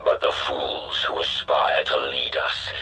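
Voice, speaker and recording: An actor playing a bitter fallen rebel scientist in a video game, delivering a solemn, theatrical recorded monologue. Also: male voice, rumbling low, little pitch movement, sharp tone, faint music behind, heavily acted, rough recording. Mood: brooding contempt. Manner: grandiose, deliberate, solemn.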